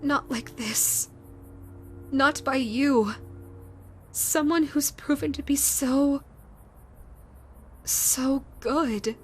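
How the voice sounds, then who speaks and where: A grieving, self-loathing yokai spirit with a scarred face, as a woman acts her out, speaking high and unhurried. She stumbles and repeats her words, breaking off mid-thought as she speaks to the listener in an audio roleplay. Soft music plays faintly underneath. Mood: bitter despair. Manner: halting, stammering, repeats words.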